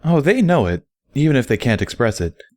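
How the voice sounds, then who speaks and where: A man's voice: mid-range voice, sing-song, fairly quick, darkish tone, no echo, clean studio sound, voice pushed up, lightly acted. A man voicing a confident, teasing, educated female pony who explains things patiently and wordily in a story reading. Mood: playfully confident.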